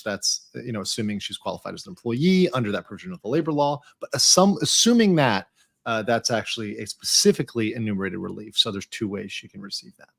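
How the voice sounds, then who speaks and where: A man's voice: medium pitch, creaky, dry recording. A man giving casual, analytical legal commentary as a panel guest, explaining points emphatically.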